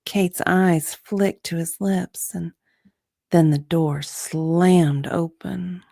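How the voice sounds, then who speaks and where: A woman's voice: lowish voice, steady tone, drawn-out words, vocal fry, mellow, dry recording, studio quality. A woman narrating a romance story as a dramatic reading, in a sultry, suspenseful manner.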